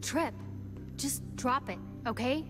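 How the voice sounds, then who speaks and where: An actress playing a calm, conciliatory woman surviving in a video game drama. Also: sits high, slow pace, music in the background. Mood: placating.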